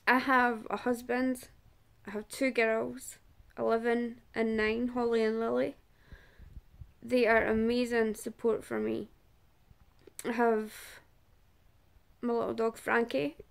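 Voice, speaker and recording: Scottish woman, 30s, personal vlog to camera; slow and halting with a raspy fry. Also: a little high. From Scotland. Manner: halting, searching for words with long pauses. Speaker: awareness vlogger and support group organiser. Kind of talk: personal awareness talk to camera.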